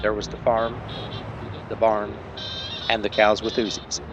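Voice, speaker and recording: A man narrating as a terse, deadpan detective in a parody of an old police drama. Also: fairly low pitch, unhurried, muffled recording, music in the background.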